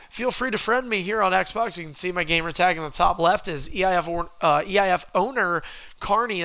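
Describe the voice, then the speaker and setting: A man with a cold, sounding hoarse, hosting a game livestream and calling the action play-by-play like a wrestling announcer. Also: sits high, bright, forceful tone. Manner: wrestling-announcer calls, rising and punchy. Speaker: stream host and commentator.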